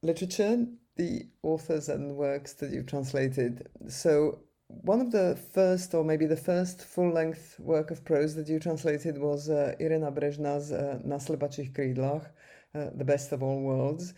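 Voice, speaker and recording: A woman's voice: low-pitched, steady tone, measured pace, dark tone. A woman interviewer asking questions in a friendly, casual way, with light laughter between them.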